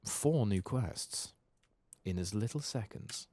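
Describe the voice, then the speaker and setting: A man speaking softly, sometimes whispering, as he hosts an ASMR game playthrough with murmured asides. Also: fairly low pitch, lively intonation, slow, no room sound. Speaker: ASMR gaming host.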